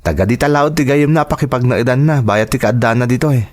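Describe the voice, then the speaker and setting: A man's voice: mid-range voice, a little fast, close to an everyday voice. A man with a Filipino accent playing an earnest, reassuring grown son in an audio drama. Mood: earnest, dutiful.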